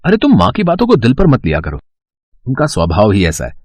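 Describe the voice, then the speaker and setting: An actor playing a soothing, conciliatory young husband in a family story. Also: male voice, mid-range voice, wildly swooping pitch, brisk, darkish tone, no echo.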